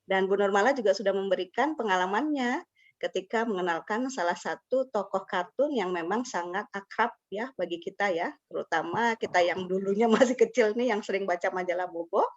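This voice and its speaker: A woman's voice: mid-range voice, brisk. A woman hosting an informal webinar, speaking politely and encouragingly. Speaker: webinar host. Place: online video call. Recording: dull lo-fi online call audio.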